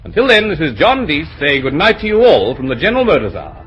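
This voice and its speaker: A man with a husky voice announcing in formal, scripted old-time radio style, measured and deliberate. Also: a little high, very animated, fairly bright tone, faint music behind, rough recording.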